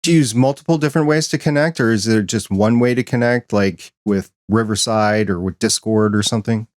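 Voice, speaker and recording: A man's voice: on the low side, a little fast, darkish tone, no echo. Conversational male podcast host, dry sound. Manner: conversational, asks open questions. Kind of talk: casual interview chat.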